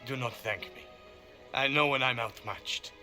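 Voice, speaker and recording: A man's voice: mid-range voice, a little slow, sharp tone. A man playing a proud but gracious aristocratic lord in a video game, with formal, courtly speech. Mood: resigned. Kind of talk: video game cutscene acting. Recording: background music under the voice.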